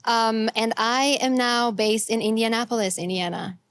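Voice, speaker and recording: Lady, relaxed chatty interview guest. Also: a little high, moderate pace, smooth, no room sound. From Egypt. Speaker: artist guest. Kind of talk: casual interview answers.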